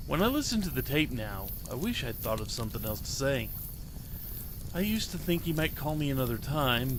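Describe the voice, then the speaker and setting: A man voicing an excitable, earnest ten-year-old boy reading his diary in a dramatic short story. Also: mid-range voice, noisy background, natural voice. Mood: let down. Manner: naive, childlike, eager phrasing.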